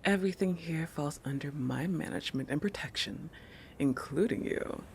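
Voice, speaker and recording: A woman playing a playful, possessive, territorial shark girl in an intimate roleplay audio, teasing and self-assured, with breathy asides that drop into whispers. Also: sits low, normal speed, slight background noise.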